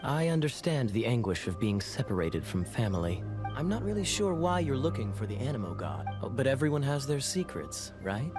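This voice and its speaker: A man playing a suave young cavalry captain in a video game, teasing and measured, with music behind him. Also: mid-range voice, big pitch swings, natural voice. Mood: suave, courteous.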